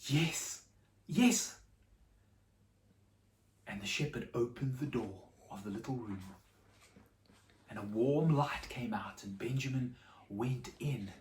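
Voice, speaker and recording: A woman telling a story to children in a dramatic, expressive way, with simple explanations. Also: a bass voice, slow pace, long pauses, slight background noise. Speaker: storyteller for a children's church show. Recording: echoey room, slightly distant mic.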